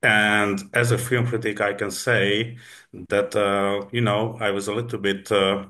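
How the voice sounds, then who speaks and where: A man from Hungary with a Hungarian accent, interviewing as a film critic and speaking English haltingly, filling gaps with "uh". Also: fairly low pitch, flat delivery, sharp tone. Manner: halting, searching for English words with many fillers. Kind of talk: press interview questions.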